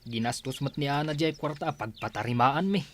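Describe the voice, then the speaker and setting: An actor with an Ilocano Filipino accent playing a plain-spoken young adult son in a village radio drama. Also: male voice, mid-range voice, a little fast, slight background noise, natural voice. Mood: explaining. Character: plain-spoken grown son helping his village father.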